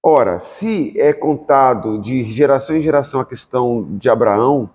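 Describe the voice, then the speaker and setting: A man hosts a talk podcast, steering guests with questions meant for listeners. His pitch is mid-range and even. A long room reverb hangs on his voice. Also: moderate pace. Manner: probing, asks questions for the audience. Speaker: podcast host. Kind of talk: podcast conversation.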